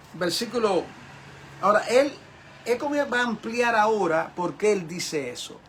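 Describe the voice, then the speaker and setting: A man's voice: high voice, a little hiss or hum. An older man teaching a Bible class as a pastor, in an emphatic, repetitive way with rhetorical questions to his listeners. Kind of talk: sermon-like Bible lesson.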